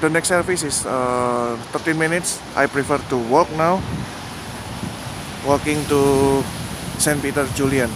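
A man narrating a walking tour outdoors, pointing out landmarks slowly and plainly, with pauses and fillers. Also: medium pitch, fairly even intonation. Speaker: vlogger giving a walking tour. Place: outdoors on rainy city streets. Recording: outdoor city noise behind the voice.

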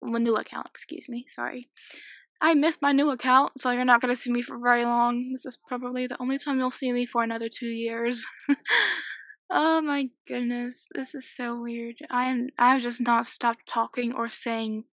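A sixteen-year-old girl with a slightly croaky voice, chatting and giggling casually while commentating a game she is playing at home. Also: a little high, flat delivery, moderate pace, a bit bright, dry recording. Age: 16, teenager. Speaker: gaming YouTuber. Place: small home room.

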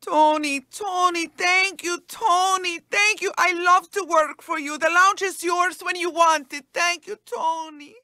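A man's voice: very high-pitched, steady tone, a little slow, few pauses, pushed and bright, no echo, studio quality, exaggerated voice. A man voicing a desperate, anxious nightclub owner in a crime game, pleading as he talks. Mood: gushing thanks. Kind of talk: video game cutscene acting.

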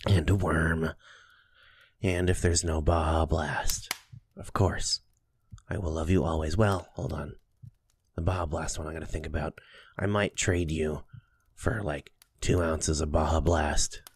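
Deep, slow, doting boyfriend played by a man. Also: steady tone, slightly rough, full character voice. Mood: cozy, affectionate. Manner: soft, affectionate, playfully teasing, with chuckles and kisses. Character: doting, teasing young boyfriend soothing a sleepy partner. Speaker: ASMR roleplay performer. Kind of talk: intimate ASMR boyfriend roleplay.

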